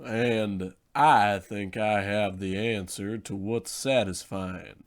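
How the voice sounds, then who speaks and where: Slow-talking radio cigarette pitchman played by a man. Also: steady tone. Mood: smooth selling. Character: folksy old-time cigarette advertising pitchman. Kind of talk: parody of vintage radio sponsor ad.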